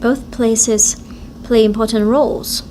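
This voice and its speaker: Female simultaneous interpreter, slow and measured, speaking in short chunks. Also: on the high side, fairly even intonation, warm tone, a little hiss or hum. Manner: halting, short phrase-by-phrase delivery. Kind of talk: live interpretation of speeches.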